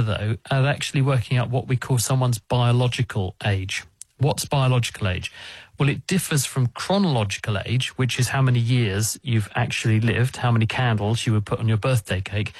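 A man answering listener questions on a radio call-in show, explaining things in a calm, methodical way. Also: medium pitch, no room sound. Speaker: science expert answering callers.

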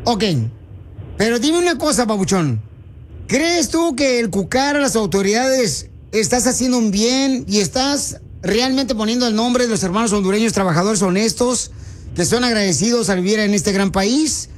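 A man's voice: high voice, moderate pace. A man hosting a heated call-in radio show, mocking and confronting a caller.

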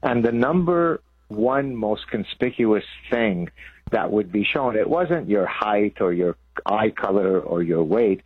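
Husky man in his sixties, phone-like line. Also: lowish voice, expressive, slow, dry recording. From Lebanon. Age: 60s. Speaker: interview guest, professor and author. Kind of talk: explanatory interview talk. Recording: narrow tinny phone-like line.

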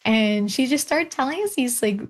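A woman's voice: high-pitched, lively intonation, normal speed. A young woman guest on an interview show, a doctoral researcher, telling personal stories animatedly with frequent laughs and restarted sentences.

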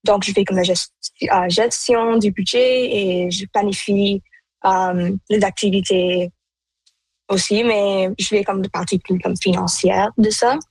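Young woman student, halting answers. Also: medium pitch, steady tone, darkish tone, slightly rough, no echo, poor audio. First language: Punjabi. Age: young adult. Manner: halting, many fillers and restarts. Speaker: student guest. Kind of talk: interview answers.

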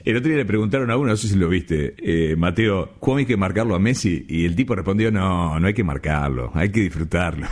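An Argentine man hosting a radio show, chatting and joking quickly. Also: sits low. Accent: Argentine Spanish. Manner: lively, joking, quick banter. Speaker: radio host. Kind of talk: casual studio chat.

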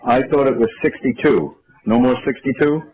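A man fielding a telemarketer's call, asking questions in a deadpan, mock-innocent way. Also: mid-range voice, normal speed, slightly rough, dull audio. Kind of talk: prank phone call.

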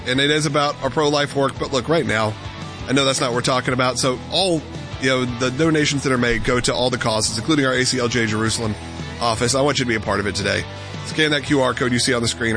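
An American man hosting a live political talk show, addressing listeners and callers. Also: medium pitch.

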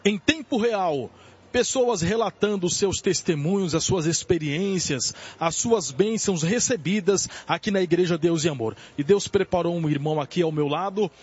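Declamatory husky high-voiced Brazilian man. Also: moderate pace, a little mellow, highs cut off. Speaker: church radio reporter and elder. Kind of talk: live evangelical radio reporting and interviewing.

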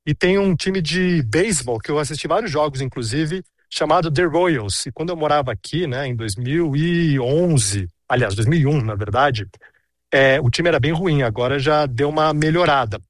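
A man in his thirties reports from a city street in a chatty, storytelling way, full of asides. His voice sits a little high. The sound is dry and close. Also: animated, normal speed, no room sound. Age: 30s. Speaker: reporter on location. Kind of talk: casual on-location report.

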